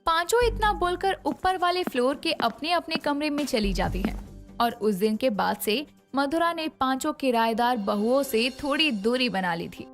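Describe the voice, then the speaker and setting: Expressive female storybook narrator. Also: high-pitched, normal speed. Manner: dramatic, expressive. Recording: soft background music.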